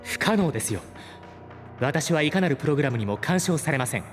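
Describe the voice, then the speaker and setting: An actor playing an earnest, guileless artificial intelligence in an audio drama, speaking formally and courteously with open feeling. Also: male voice, on the high side, expressive, a little fast, faint music behind, close to an everyday voice. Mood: earnest insistence. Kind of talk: Japanese audio drama acting.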